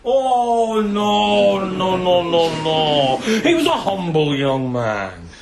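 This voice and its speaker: An actor playing a sadistic bandit chieftain in a radio drama, theatrical and mocking, relishing every word with menace. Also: male voice, high voice, sing-song, drawn-out words, creaky, a crowd in the background, heavily acted. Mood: mocking, gleeful. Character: gloating, sadistic bandit chieftain taunting bound captives.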